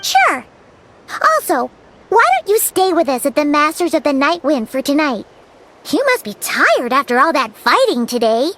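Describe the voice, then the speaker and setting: A woman voicing a small, perky, chatty fairy girl companion in a video game, with a squeaky childlike voice and exclamatory manner. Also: sing-song, normal speed, fairly bright tone. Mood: chirpy. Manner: exclamatory, speaks of herself in third person. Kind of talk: animated video game character acting.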